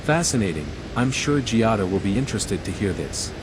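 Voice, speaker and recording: An actor playing a dry-witted, restrained envoy, the hero of a video game, in measured dialogue. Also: male voice, fairly low pitch, sing-song, noisy background. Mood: earnest.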